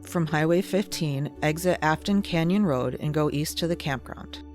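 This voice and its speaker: A narrator reading a scripted documentary piece in a measured, explanatory way. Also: mid-range voice, darkish tone. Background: ambient chill-out music.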